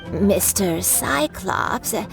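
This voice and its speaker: An actress playing a kindly old granny who sells kites in a video game, fond and expressive. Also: female voice, mid-range voice, takes it slowly, creaky. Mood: hesitant, practical. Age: older. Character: kindly old granny kite seller, patient, shrewd. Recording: music behind the voice. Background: game music.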